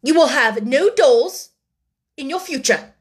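A woman voicing a haughty, domineering schoolmistress in an audiobook, sharp-tempered, scolding and imperious. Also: high voice, animated, slow pace, voice pushed up. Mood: cold, sharp. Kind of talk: audiobook character acting.

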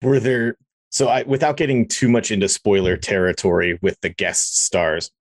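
A man's voice: on the low side, fairly even intonation, normal speed, dry recording, clean studio sound. A man hosting a podcast in casual interview chat, talking like a friendly, enthusiastic fan.

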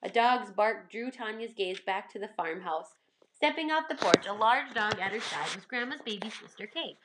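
A woman reading a children's story aloud in expressive scripted narration. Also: mid-range voice, normal speed, very noisy.

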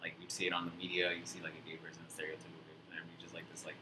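A man's voice: low voice, monotone, rapid-fire, noisy background. A man chatting casually on a podcast, laid-back, swearing freely and saying "like" a lot. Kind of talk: casual chat. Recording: roomy echo.

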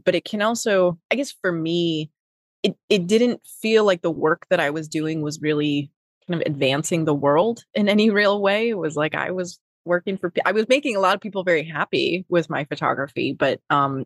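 Low-voiced Wisconsin woman, rambling. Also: dry recording. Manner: rambling, many fillers and restarts. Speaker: podcast guest, nonprofit executive. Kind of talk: casual interview talk.

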